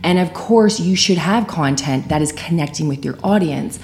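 Clear-voiced woman co-host, confident. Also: low voice, moderate pace, darkish tone, a little hiss or hum. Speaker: podcast co-host. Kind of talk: casual podcast talk.

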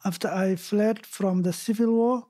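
A woman reading a translated voice-over in a gentle, careful way. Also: medium pitch, fairly even intonation, measured pace. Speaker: voice-over interpreter. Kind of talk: read translation. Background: music.

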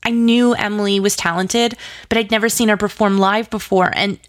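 A woman speaks as a guest in a casual interview, with a medium-pitched voice and very little room sound. Her manner is enthusiastic and gushing, and she strings thoughts together with plenty of "like". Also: fairly even intonation, moderate pace, no room sound, clean studio sound. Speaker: interview guest, audio drama writer and producer.

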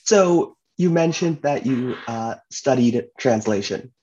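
A man hosting a podcast, talking in a relaxed, chatty way. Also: medium pitch, slow, highs cut off. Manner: relaxed, chatty, uses fillers. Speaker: podcast host. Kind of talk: casual podcast chat.

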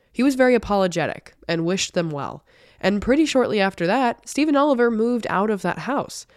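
A woman's voice: fairly low pitch, no echo, clean studio sound. Congested woman narrating a podcast. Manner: casual, chatty narration. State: congested from a cold. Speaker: podcast host. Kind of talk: true crime storytelling.

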